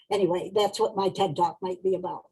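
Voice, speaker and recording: A woman's voice: lowish voice, steady tone, moderate pace, mellow, slightly rough. A woman talking informally about herself in a wry, chuckling manner. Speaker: meeting participant. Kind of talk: informal self-introduction.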